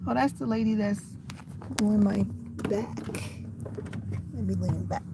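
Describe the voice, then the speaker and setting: Chatty older woman, slightly raspy voice. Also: mid-range voice, measured pace, noisy background. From USA. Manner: rambling, chatty, talking to herself. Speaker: vlogger. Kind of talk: casual livestream chat. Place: inside a parked car in the rain.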